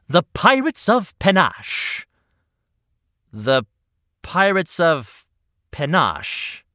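A showy, self-important hedgehog composer, voiced by a performer, swooping through a lively, bouncing pitch. The tone is bright and bold, and the sound is close and dry with no room around it. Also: no echo, full character voice. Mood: grandiose. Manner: grandiose, theatrical, self-important. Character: pompous theatrical hedgehog composer. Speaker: sidekick character.

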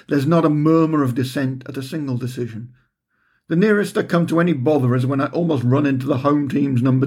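A man's voice: mid-range voice, a little mellow, husky. A middle-aged man reading his own book aloud, telling the story in a dry, wry tone with understated humour. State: recovering from a heavy cold. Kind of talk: audiobook narration.

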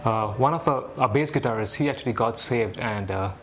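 A man's voice: mid-range voice, fairly quick, a bit bright, soft background music. A man from India telling how he came to faith in a video testimony. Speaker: church member giving testimony.

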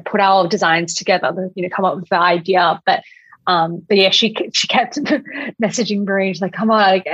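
A woman's voice: medium pitch, breathy edge. A woman with an Australian accent chatting casually as an interview guest, with plenty of "like" and "you know". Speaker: podcast guest, quilt designer. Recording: echoey room, slightly muffled audio.

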